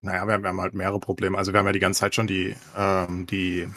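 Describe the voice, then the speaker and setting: A man's voice: low voice, monotone, fast, bright, forceful tone. A man chatting casually on a podcast in colloquial language, with sardonic understatement. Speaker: podcast host.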